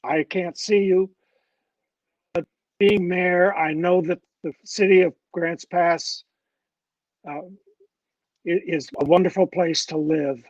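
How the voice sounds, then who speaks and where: An older man and mayoral candidate giving a halting, earnest forum speech, often restarting his words. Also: a little high, darkish tone, dry recording, far from the mic, dull audio. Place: public forum room.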